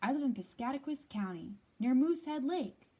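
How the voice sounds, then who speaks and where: A woman's voice: high-pitched, expressive, brisk, mellow, husky. A woman reading as a friendly, outdoorsy moose in a picture book, with a confiding manner.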